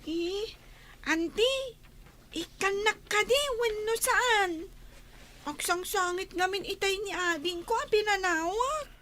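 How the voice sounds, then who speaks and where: A woman's voice: very high-pitched, slow, sharp tone, a little hiss or hum, full character voice. A woman with an Ilocano accent voicing a hesitant, pleading young village child in a comedy radio drama.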